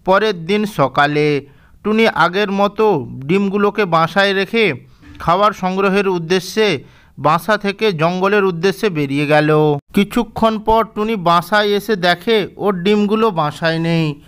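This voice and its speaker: A man narrating a cartoon fairy tale in a measured, gently didactic storybook manner. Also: high-pitched, clear, resonant tone.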